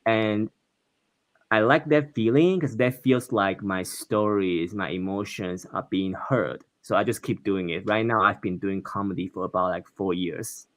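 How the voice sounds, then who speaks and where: Taiwanese man, low voice, dry sound. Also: unhurried, fairly bright tone, dry recording. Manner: earnest, plain-spoken, laughs easily. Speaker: stand-up comedian, guest. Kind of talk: interview conversation.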